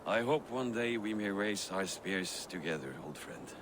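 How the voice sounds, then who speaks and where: A proud, disgraced Viking warrior who speaks in a formal, solemn way. His voice sits very low, and loud outdoor game ambience runs behind him. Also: lively intonation, measured pace, very noisy.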